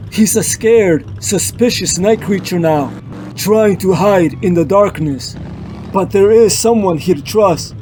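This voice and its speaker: A man's voice: sits high, dark tone, a little hiss or hum, low-quality recording. A man voicing Batman as a grave, driven detective hero in a solemn, melodramatic comic-book dub. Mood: grave, tense. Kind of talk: dramatic comic-book dub with inner monologue. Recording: music bed under the voice.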